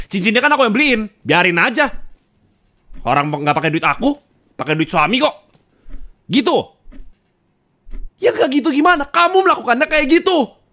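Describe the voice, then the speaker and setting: A young adult man playing a husband talking intimately and colloquially to his wife in an audio roleplay, close on the microphone. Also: high-pitched. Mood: furious. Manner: intimate, colloquial, turning from soft to scolding. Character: young husband, affectionate then angrily scolding his wife. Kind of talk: Indonesian ASMR roleplay acting, addressed to the listener. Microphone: close studio microphone.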